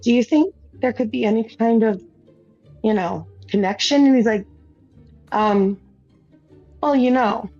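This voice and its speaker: A woman's voice: on the high side, a little slow, long pauses, mellow, no room sound, soft background music. A woman hosting a gaming stream, chatting casually and telling a rambling story, laughing often and restarting her sentences. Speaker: streamer and show host. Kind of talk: casual chat while gaming. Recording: dull, dry lo-fi sound.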